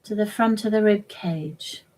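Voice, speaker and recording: A woman leading a guided relaxation as a yoga nidra instructor, speaking gently and soothingly in short, measured phrases with long pauses. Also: medium pitch.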